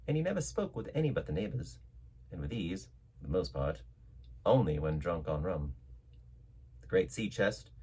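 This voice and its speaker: Male narrator for storybook adventure: theatrical, very varied intonation, husky voice, mid pitch. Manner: theatrical, expressive emphasis. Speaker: storyteller reading a novel aloud.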